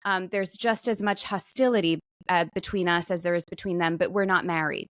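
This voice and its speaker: A woman co-hosting a podcast, running the show in teasing, deadpan banter. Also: fairly low pitch, a little fast, dry recording, clean studio sound. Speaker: podcast co-host. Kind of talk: casual banter.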